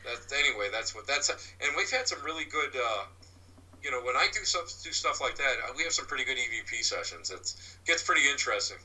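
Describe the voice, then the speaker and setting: A man with a loud voice, answering interview questions about his paranormal work in a rambling way, full of restarts and fillers. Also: medium pitch, expressive, brisk, poor audio. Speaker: paranormal investigator and medium. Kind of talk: interview guest, casual explaining.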